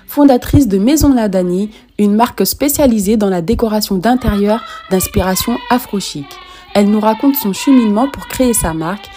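A woman hosting a podcast, giving a scripted introduction and asking casual questions in a calm, measured way. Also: mid-range voice. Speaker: podcast host.

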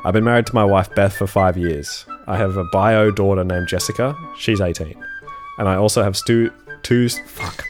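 Deep-voiced congested guy. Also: steady tone, normal speed, soft background music. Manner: laid-back, swears, stumbles while reading. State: congested, sniffling. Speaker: podcast co-host. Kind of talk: casual chat, reading a forum post aloud.

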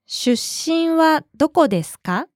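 A woman modeling short phrases for a language lesson, crisp and deliberate. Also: high-pitched, expressive, background noise. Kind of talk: slow, careful phrase modeling.

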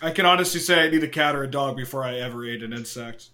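Bright-voiced man quipping. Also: mid-range voice. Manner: quick reactions, disgusted quips. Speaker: podcast co-host. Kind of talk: casual chat.

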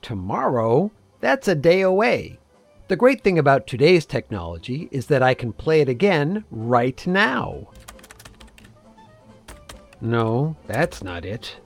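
Man as a fumbling dad, slow pace, swooping intonation, comic radio drama, faint music behind. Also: mid-range voice, very animated, creaky, full character voice. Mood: bumbling. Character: fumbling, slow-talking dad baffled by technology. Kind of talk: comic audio drama acting.

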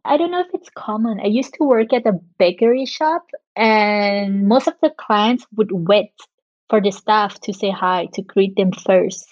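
A woman's voice: mid-range voice, a little slow, dry recording. A woman in her thirties who grew up in Thailand, chatting casually as an interview guest and laughing often. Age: 30s. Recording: muffled lo-fi audio.

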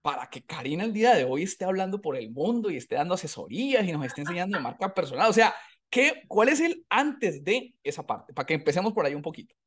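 A man's voice: sits high, very animated, quick pace, breathy edge. A man hosting a podcast by video call, upbeat and thankful, often repeating his phrases. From Colombia. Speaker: podcast host. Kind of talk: casual interview chat. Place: home setup in a US city.

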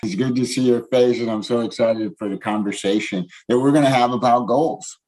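A man hosting an interview show, talking in an energetic, motivational way. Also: mid-range voice, brisk, poor audio. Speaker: podcast host.

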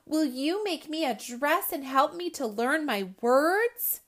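A woman's voice: high voice, lively intonation, slow, voice pushed up. A woman doing an eager little schoolgirl. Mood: excited.

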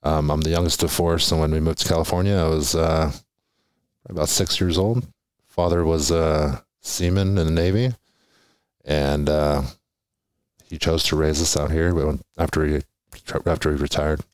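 A man from Hawaii, an army veteran, answering questions in a soft-spoken way with frequent ums. His voice is very low-pitched. The recording is dry and close. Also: steady tone, normal speed, clean tone, dry recording. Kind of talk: interview answers.

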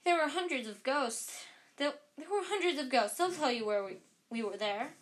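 A woman doing an eager, loud schoolboy. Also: high voice, lively intonation, normal speed, voice pushed up. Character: eager, defensive young schoolboy.

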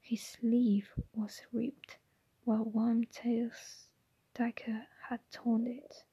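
Brief: woman, breathy unvoiced whisper, slow, mellow, close and dry. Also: a little high, flat delivery, no echo, rough recording. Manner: soft unvoiced whisper throughout. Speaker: narrator. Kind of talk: ASMR audiobook reading.

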